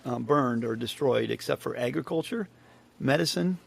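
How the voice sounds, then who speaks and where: A man's voice: medium pitch, moderate pace, gravelly. A man hosting a casual chat, reading history notes with many hesitations and ums. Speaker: podcast host.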